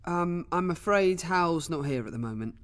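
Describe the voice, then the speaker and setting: Young apprentice, polite, lively intonation, radio drama. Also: male voice, a little high, normal speed. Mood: puzzled, polite. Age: young adult.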